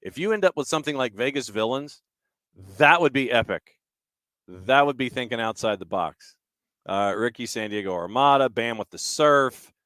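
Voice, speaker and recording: Male sports talk host, mid-pitched, resonant, conversational and halting, working through listener comments. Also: expressive, fairly bright tone, no echo. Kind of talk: casual soccer talk, reading and answering listener comments.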